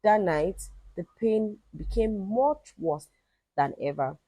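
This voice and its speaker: A woman telling a folktale in an expressive, emphatic and dramatic storytelling style. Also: medium pitch, slow pace, breathy edge, no room sound, rough recording.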